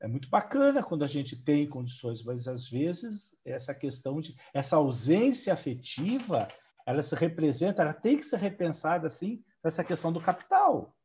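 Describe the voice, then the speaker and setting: Um homem numa live por chamada de vídeo, meio agudo, com um jeito de falar informal e repetitivo. O áudio tem pouca definição, com um tom apagado. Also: male voice, fairly high pitch, big pitch swings, moderate pace, a little mellow, lo-fi sound. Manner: loose, repetitive, ends lines with "sabe?" and "tá entendendo?". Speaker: psychologist guest on a live panel. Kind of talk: casual panel discussion. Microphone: video call.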